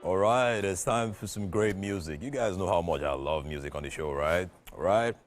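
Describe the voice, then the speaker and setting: A deep-voiced man chattily introducing the next act on a morning TV show, faint music underneath. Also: normal speed. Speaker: TV show host. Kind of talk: casual introduction to audience.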